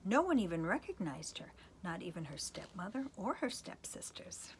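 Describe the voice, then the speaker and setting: A woman's voice: lowish voice, big pitch swings, normal speed, slight background noise. A woman reading a storybook expressively to children, with a playful manner. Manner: playful asides to young listeners.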